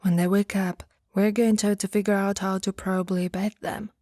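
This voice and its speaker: Woman acting a blunt, grumpy giantess, soft mellow voice. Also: medium pitch, level tone, a little fast, no room sound, studio quality, close to an everyday voice. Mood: reluctantly softening. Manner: blunt, dismissive, grudging. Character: grumpy, disdainful giantess secretly softening toward tiny human pet. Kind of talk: ASMR roleplay acting.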